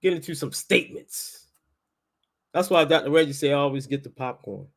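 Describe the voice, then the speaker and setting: High-voiced Baltimore man, insistent and colloquial. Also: a little fast, creaky. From Baltimore, United States. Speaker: host, linguistics teacher. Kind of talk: casual live talk with debate.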